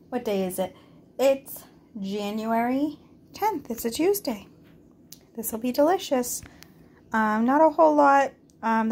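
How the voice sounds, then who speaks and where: A woman talks through her home cooking in a relaxed, chatty way, often pausing on an um. Her voice is a little congested and sits at an ordinary middle pitch. Faint kitchen noise runs behind her. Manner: relaxed, chatty, frequent ums. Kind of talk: casual kitchen vlog narration.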